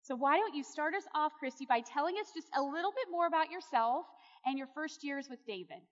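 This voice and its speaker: A woman's voice: high voice, animated, a little fast. An earnest, encouraging woman speaking as a host, introducing and interviewing a friend before an audience at a church event. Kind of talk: warm-up address and guided testimony interview to an audience. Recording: muffled, echoey large hall.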